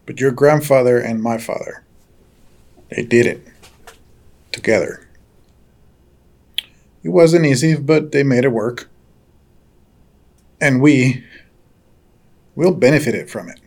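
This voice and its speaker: Audio drama role: older male farm patriarch, folksy storyteller, courteous, gently probing, medium pitch. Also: slow, creaky, long pauses. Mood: fond, reminiscing. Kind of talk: naturalistic audio drama dialogue.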